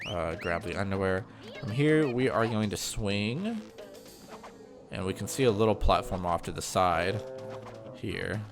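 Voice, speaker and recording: A man's voice: fairly low pitch, lively intonation, measured pace, long pauses, over music. A man with a slightly nasal, congested voice giving a chatty, rambling walkthrough of a video game. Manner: chatty, rambling, with frequent ums and self-corrections. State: mild sinus congestion. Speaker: gaming channel host. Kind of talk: casual gameplay commentary.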